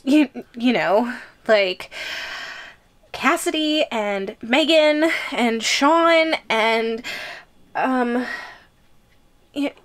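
A woman acting out a yandere giantess schoolgirl in a roleplay audio drama. Her voice is high and girlish, and she speaks slowly with nervous, possessive hesitation and giggly stammers. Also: fairly bright tone. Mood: possessive, uneasy.

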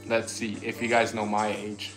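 A man's voice: lowish voice, level tone, moderate pace, reverberant, loud music behind the voice. A man in his twenties from Austria, hosting a casual livestream from a couch, chatting upbeat and thanking viewers for donations. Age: 20s. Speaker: livestream host. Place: living room couch indoors. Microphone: phone camera propped in front.

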